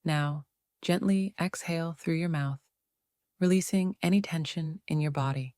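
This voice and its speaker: A woman narrating a guided meditation in a soothing, unhurried way, leaving long pauses between phrases. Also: low voice, warm tone, no echo, studio quality.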